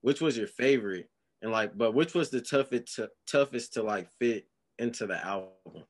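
A man co-interviewing a rapper, asking easygoing, halting questions. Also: medium pitch.

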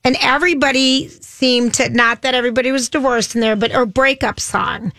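A woman co-hosting a radio show, telling long rambling stories in a chatty, loud-voiced way. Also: high-pitched, steady tone, fairly quick, dry recording. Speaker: radio co-host. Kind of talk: casual radio chat. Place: radio studio.

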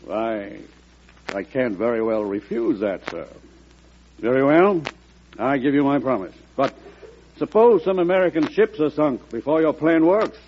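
An actor playing a hawkish, skeptical senator in an old-time radio drama, with an emphatic, challenging delivery. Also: male voice, mid-range voice, animated, a little slow, slight background noise, natural voice. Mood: reluctant, questioning. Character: combative, hawkish male senator, skeptical of the President. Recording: muffled old radio recording.

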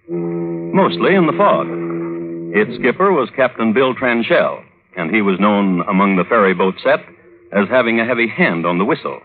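An actor playing a dry, droll connoisseur of crime, hosting and narrating in ornate, wry, deliberate old radio style. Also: male voice, fairly low pitch, slightly rough. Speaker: host and narrator. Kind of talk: 1950s radio scripted narration. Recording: old narrowband radio recording with music.

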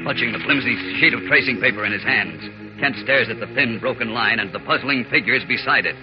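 A man's voice: medium pitch, a little fast. A man narrating a vintage radio serial as its announcer, dramatic and suspenseful. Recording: muffled old radio recording with loud music.

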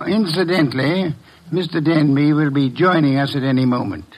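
A man playing a pompous, imperious fat detective in an old radio drama, speaking in formal, pedantic, deliberate phrases. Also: fairly high pitch, slight background noise, lightly acted. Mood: imperious, dry.

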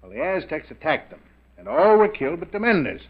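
Hearty, boyish rich man, fairly high. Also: big pitch swings, fairly quick, slightly rough, full character voice. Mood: absorbed storytelling. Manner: hearty, chummy, storytelling. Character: boyish, adventurous wealthy businessman, eager treasure enthusiast. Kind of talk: 1940s radio mystery acting. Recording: dull 1940s radio recording.

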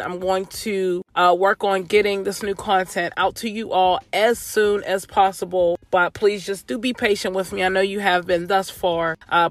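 A woman's voice: mid-range voice, a bit bright, dry recording. A woman hosting a podcast, getting over a cold so her voice is a little off, talking in an upbeat, chatty way and repeating her phrases. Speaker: podcast host. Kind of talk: casual motivational chat and vocabulary lesson.

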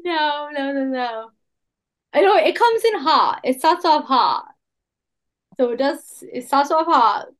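A young woman co-hosting a podcast, chatting casually in an animated way. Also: high-pitched, slow pace. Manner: animated, laughs easily. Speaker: podcast co-host. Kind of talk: casual chat.

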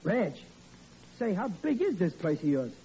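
Flustered family man, high and mellow, stammering bluster, dull old radio sound. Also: big pitch swings, unhurried, heavily acted. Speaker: lead character in a radio comedy. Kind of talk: 1940s radio acting. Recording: old lo-fi radio recording with faint hiss.

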